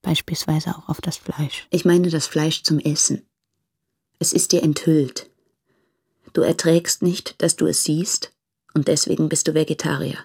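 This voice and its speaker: Low, husky, frank woman in love. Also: fairly even intonation, unhurried, a little mellow, exaggerated voice. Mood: understanding, intimate. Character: married woman, frank, resolute, anguished lover of a fearful man. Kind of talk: literary radio drama monologue.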